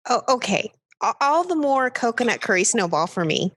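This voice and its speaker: A woman's voice: on the high side, big pitch swings, normal speed, a bit bright. A woman playing an earnest, exasperated food expert, a guest in a radio comedy sketch, speaking casually with hesitant stammers. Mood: taken aback.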